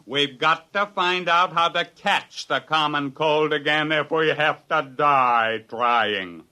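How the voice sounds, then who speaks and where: An urgent commanding scientist. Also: male voice, fairly high pitch, slow pace, bright, forceful tone, full character voice. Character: male scientist narrator, driven and impatient. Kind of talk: 1950s radio drama acting.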